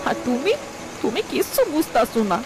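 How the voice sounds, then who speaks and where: A woman's voice: on the high side, animated, fairly quick, noisy background. A woman voicing a protective farmer's wife and mother in a cartoon, scolding and pleading in village speech. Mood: anxious. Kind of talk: cartoon voice acting. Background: background music.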